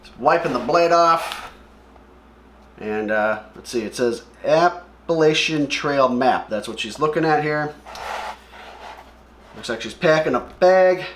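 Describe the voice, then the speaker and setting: A man's voice: fairly high pitch, expressive, takes it slowly, long pauses, fairly bright tone, a little hiss or hum. A man hosting a comic read-along with casual, offhand and opinionated commentary as he describes what he sees. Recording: echoey room.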